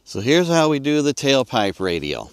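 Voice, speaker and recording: A man's voice: medium pitch, very animated, moderate pace, clear, resonant tone, no echo. A middle-aged man with an American accent, casually explaining his hobby in a plain-spoken, folksy way as a vlog host. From United States. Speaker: ham radio hobbyist and video host. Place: outdoors in an open field, partly inside a parked car.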